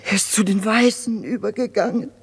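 An actress playing an anxious, outspoken working-class mother with a husky voice in a 1950s German radio drama. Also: mid-range voice, steady tone, fairly quick. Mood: chatty, matter-of-fact.

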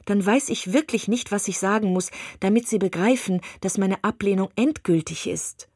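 A woman's voice: mid-range voice, moderate pace, darkish tone, breathy edge, dry recording, clean studio sound. A woman voicing a spirited young woman who firmly and precisely refuses a suitor, in an audiobook. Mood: exasperated. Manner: firm, exasperated, precise. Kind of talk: audiobook reading of a classic novel.

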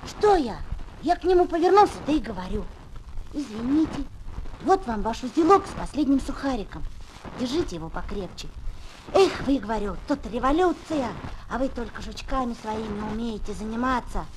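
A woman playing an emotional, animated schoolboy who tells his story in a Soviet children's radio play from the 1970s. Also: fairly high pitch, fairly quick, lightly acted. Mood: excited, dramatic. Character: boastful yet tender-hearted schoolboy Tolka, would-be film actor. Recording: noisy old 1970s flexi-disc record.

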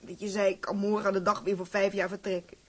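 An actress playing a blunt, feisty working-class seaman's wife in a radio drama, with a husky, sharp-tongued delivery. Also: mid-range voice, flat delivery, quick pace, lightly acted. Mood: emotional.

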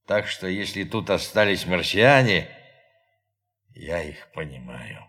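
Proud, loyal astronaut crewmate for radio drama: intense, slow and weighty, hugely dynamic pitch, faint music behind. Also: male voice, expressive, full character voice. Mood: grave, subdued. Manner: intense, swinging from hushed to explosive. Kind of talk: Russian audio drama acting.

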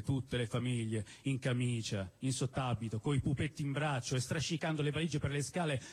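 A man giving an expressive, theatrical reading of literary prose as a storyteller, with emphatic phrasing and deliberate pauses. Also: fairly high pitch. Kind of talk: expressive dramatic reading of a novel. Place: live stage at a celebration event in a cinema venue.

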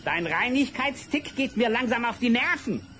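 A man's voice: extremely high pitch, expressive, normal speed, bright, forceful tone, soft background music, full character voice. A man playing an irritable, fanatical vampire-hunting cemetery warden in a German radio play, grumbling and melodramatic. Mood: irritated, scolding.

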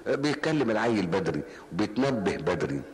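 Older man, Egyptian accent, rapid repetitive storytelling, reverberant mosque hall, faint hiss. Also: fairly low pitch, big pitch swings. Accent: Egyptian Arabic. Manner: colloquial storytelling, repeats phrases for emphasis. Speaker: preacher. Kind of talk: mosque lesson answering questions.

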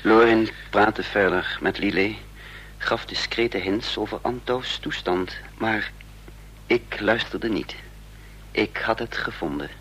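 An actor playing a tense young man in hiding, speaking and narrating haltingly in a Dutch radio drama. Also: lowish voice, fairly bright tone. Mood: brooding, dramatic. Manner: halting, deliberate. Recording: noisy, humming old radio recording.

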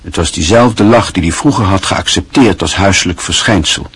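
A man narrating a radio play in a measured, even storytelling manner. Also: sits low, husky.

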